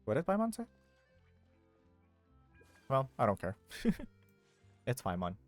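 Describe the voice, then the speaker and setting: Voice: mid-range voice, huge pitch swings, slow pace, soft background music. A game streamer chatting casually on a livestream, rambling playfully and repeating phrases as if to themselves. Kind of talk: casual livestream chat while gaming.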